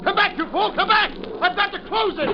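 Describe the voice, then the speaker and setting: A man's voice: extremely high pitch, a little fast. An actor playing a male ship passenger in a 1940s radio horror drama, telling and living his tale with dramatic, urgent gravity. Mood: panicked shouting. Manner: dramatic, grave in narration, urgent in dialogue. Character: alarmed male ship passenger recounting a terrifying voyage. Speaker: narrator and lead character. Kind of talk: 1940s radio acting, first-person horror narration. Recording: muffled, noisy old 1940s radio recording.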